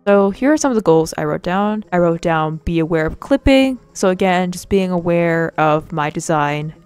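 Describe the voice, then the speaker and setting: A woman's voice: on the low side, expressive, moderate pace, a little mellow, clear, resonant tone. A woman casually walking through her own character design work, rambling and self-deprecating, with lots of fillers. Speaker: artist and channel host. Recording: dry close mic with faint music behind.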